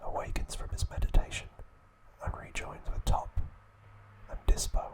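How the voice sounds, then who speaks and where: A man narrating trivia as an ASMR host in a breathy, hushed whisper, in short measured phrases.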